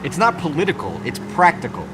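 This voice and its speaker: An actor playing an earnest, self-assured by-the-book police detective in a crime drama game, speaking formally and precisely. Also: male voice, fairly high pitch, wildly swooping pitch, brisk, noisy background. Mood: earnest, righteous.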